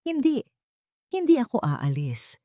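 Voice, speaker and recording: Lady acting a timid filipino maid, soft and respectful, hurt but loyal, audiobook drama. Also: on the high side, wildly swooping pitch, a little slow, dry recording, clean studio sound, voice pushed up. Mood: quiet resolve. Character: meek, hurt young housemaid, quietly loyal. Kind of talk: audiobook character dialogue.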